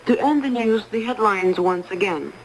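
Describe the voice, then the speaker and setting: A woman reading a scripted news bulletin in a formal, measured way, heard over a 1980s shortwave radio broadcast. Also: medium pitch, low-quality recording. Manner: formal, measured announcer delivery.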